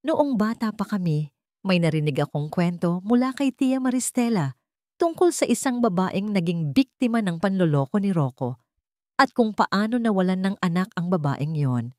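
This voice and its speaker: A woman's voice: medium pitch, animated, moderate pace, a little mellow, no echo, clean studio sound. A woman reading a scripted first-person dramatic story as its narrator.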